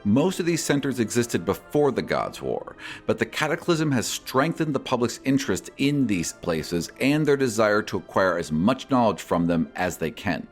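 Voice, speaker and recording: A man's voice: fairly low pitch, very animated, normal speed, few pauses, soft background music. A man hosting a podcast, reading out and answering listener questions in an articulate way. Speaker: podcast host and game master.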